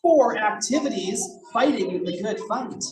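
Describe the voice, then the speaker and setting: A person reading picture books aloud to children in an animated, encouraging way, with chatty asides. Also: mid-range voice, measured pace. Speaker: drag storytime reader. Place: echoey indoor room, library event. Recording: roomy, noisy, distant mic.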